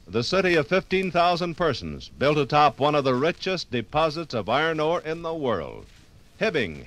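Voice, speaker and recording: A man's voice: on the high side, fairly bright tone. A man narrating a scripted, dramatic 1950s radio documentary.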